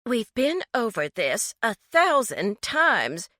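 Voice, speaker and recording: A woman voicing a frantic, scolding middle-aged mother, high and shrill. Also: sing-song, slow pace, sharp tone, no room sound, clean studio sound, exaggerated voice.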